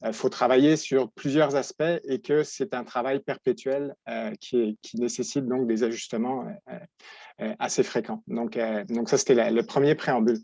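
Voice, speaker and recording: Halting French-accented man, dull dry sound. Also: medium pitch, steady tone, a little mellow, dry recording. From France. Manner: halting, many restarts and repeated words. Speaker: veterinary professor presenting. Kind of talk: webinar presentation.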